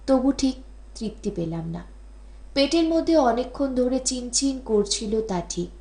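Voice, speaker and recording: A woman reading a literary story as an audiobook narrator, in an expressive storytelling style. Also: a little high. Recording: roomy, echoing voice recording.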